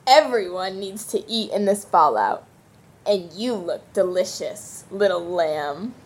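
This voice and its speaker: A woman's voice: fairly low pitch, lively intonation, slow pace, breathy edge, full character voice. A woman playing a cruel, hungry cannibal captive who savors her menacing taunts in an audio drama.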